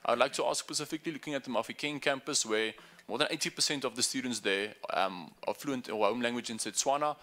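A young man asking a formal, measured question at a university debate into a desk microphone. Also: medium pitch, a bit bright. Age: young adult. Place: university meeting room.

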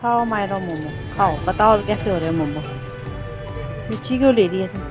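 A woman's voice: medium pitch, normal speed. A young woman vlogger chatting casually outdoors by a lake, filming herself on a phone at arm's length and asking a child playful questions. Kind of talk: casual vlog chat. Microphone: phone front camera at arm's length. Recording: background music over outdoor phone audio.

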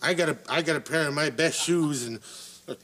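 A man's voice: a little high, a little fast, pushed and bright. A man playing a bumbling, tipsy elderly children's storytime host in a comedy sketch, stammering and losing his thread. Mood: distracted, muttering. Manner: rambling, stammering, loses his thread, trails off. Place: living-room studio set with audience. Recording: noisy TV studio, audience behind.

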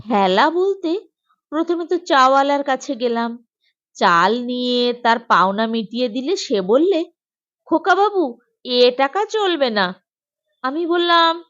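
Woman doing a sly whiny schoolboy in a comic story, glib n boastful, high voice, slightly lo-fi. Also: big pitch swings, slow, long pauses, a bit bright, full character voice. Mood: grumbling. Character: cheeky, grumbling schoolboy, slyly dim pupil. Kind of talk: humorous storybook reading.

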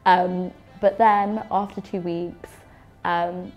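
A woman's voice: lowish voice, flat delivery, takes it slowly, lo-fi sound. A woman in her early twenties, a university student talking informally to camera on a lapel mic. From Uganda, living in London. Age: early 20s. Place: bright room with large windows. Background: background music.

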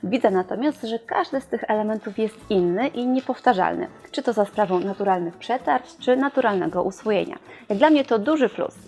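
A young woman presenting and reviewing furniture in a showroom, in a clear, explanatory scripted delivery. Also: medium pitch, expressive, brisk, few pauses, darkish tone.

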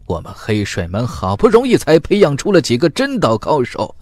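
A man's voice: mid-range voice, huge pitch swings, moderate pace, a little mellow, no room sound, voice pushed up. A man voicing a grudging, bullying old cultivator in an audiobook, indignant, demanding and blustering. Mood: aggrieved. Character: grudging, bullying old male water-sect cultivator monster. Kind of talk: audiobook character acting.

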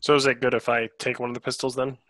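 A man's voice: medium pitch, flat delivery, fast talker, a bit bright. A man chatting casually as a player in an online tabletop game, on a group call.

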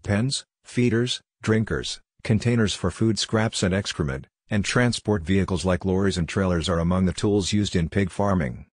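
A man narrating a scripted farming guide in a steady, even reading voice. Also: sits low, moderate pace, hardly any pauses, no room sound, studio quality.

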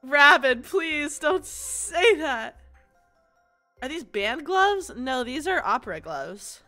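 Young woman, streamer, stuffy nose, loud, a bit high-pitched, faint background music. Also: sing-song, slow. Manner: loud, bursts into screaming. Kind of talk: casual livestream chat.

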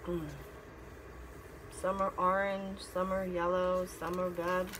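A middle-aged woman reads a picture book aloud as a teacher, pausing to explain and ask simple questions. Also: fairly low pitch, level tone. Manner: instructive, asking simple questions. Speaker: teacher reading to children. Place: classroom. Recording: clear background noise.

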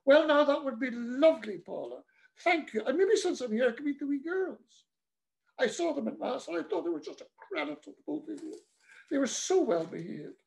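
Pushy, breezy priest, middle-aged, man performing, lifted pitch. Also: big pitch swings, moderate pace, gravelly, heavily acted, poor audio. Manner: chatty, breezy, oblivious.

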